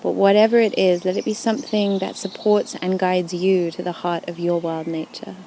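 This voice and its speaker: A woman with a dark, relaxed, lowish voice leads a guided meditation. She speaks soothingly and takes her time over each gentle phrase. Faint birdsong and forest ambience sit behind her. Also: moderate pace, slight background noise. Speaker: yoga nidra guide. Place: outdoors in a forest at dusk. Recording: outdoor recording with faint birdsong.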